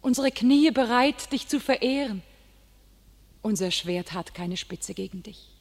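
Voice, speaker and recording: A woman's voice: fairly high pitch, expressive, measured pace, darkish tone. A woman playing a young female warrior prophet, declaiming solemn verse in a classic radio play, sometimes dropping to a whisper. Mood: pleading. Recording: radio drama with faint music.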